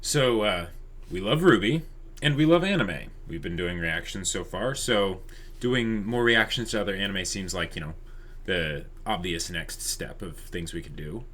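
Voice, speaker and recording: A man's voice: low-pitched, normal speed, fairly bright tone. A young man co-hosting a channel, chatting casually to viewers. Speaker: channel co-host.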